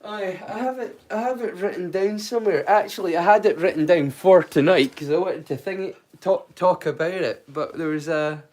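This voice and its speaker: Name: high-voiced Scottish man. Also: normal speed, hardly any pauses, darkish tone. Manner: rambling, full of "like" fillers, swears casually. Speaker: storyteller streamer. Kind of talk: casual live-stream chat.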